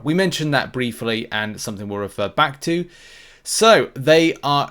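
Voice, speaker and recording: A man's voice: mid-range voice, fairly bright tone. Man hosting a gaming video. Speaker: video host and gaming content creator. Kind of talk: casual gameplay commentary to viewers.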